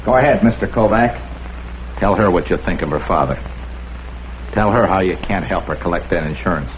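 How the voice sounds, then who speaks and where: A man's voice: low-pitched, animated, fairly quick. An American man playing a slangy, cajoling small-time crook in a 1950s TV crime drama. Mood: needling. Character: wheedling small-time male crook pleading for a friend. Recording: muffled vintage TV soundtrack, faint noise.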